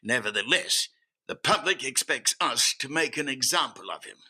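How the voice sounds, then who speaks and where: An actor playing a pompous, impatient upper-class customs chief in an old-time radio drama, blustering and demanding. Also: male voice, medium pitch, lively intonation, moderate pace, bright, forceful tone, slightly rough, exaggerated voice. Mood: indignant, demanding.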